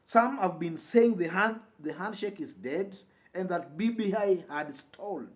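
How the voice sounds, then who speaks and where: A high-voiced man with a Kenyan accent delivers political commentary as a host. His intonation rises and falls widely as he talks. He is emphatic, restating key phrases to give them weight. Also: expressive, unhurried. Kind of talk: news commentary to camera or listeners.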